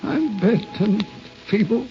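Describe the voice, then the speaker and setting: An actor playing a frail, remorseful, lovelorn old uncle in an old radio drama, halting and emotional. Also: male voice, sits high, animated, soft background music. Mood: yearning, awed. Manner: halting, emotional, repeating words. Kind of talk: 1940s radio drama acting. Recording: muffled, crackly old radio broadcast.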